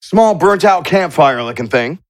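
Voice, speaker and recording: A man's voice: fairly high pitch, animated, moderate pace. A man acting as game master, narrating scenes of a tabletop role-playing game to his players.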